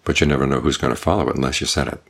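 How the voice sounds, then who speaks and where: A man, a Buddhist monk, giving a measured, deliberate dharma talk. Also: a bass voice, fairly even intonation, vocal fry, fairly bright tone.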